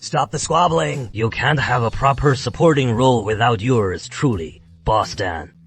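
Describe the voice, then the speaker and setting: An actor playing a self-important old male sect elder in an anime dub, pompous and deliberate. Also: mid-range voice, slightly rough, soft background music. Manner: pompous, slow.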